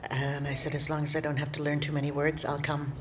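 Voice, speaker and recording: A woman's voice: low-pitched, little pitch movement, quick pace. An older woman, a semi-retired theatre actor, speaking wryly in an interview. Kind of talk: interview soundbite.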